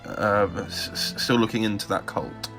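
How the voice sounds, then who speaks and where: A man's voice: lowish voice, normal speed, fairly bright tone, faint music behind, lightly acted. An actor playing a nervous man out of his own time who stammers and gets easily flustered. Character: nervous young man from the distant past, awkward and flustered.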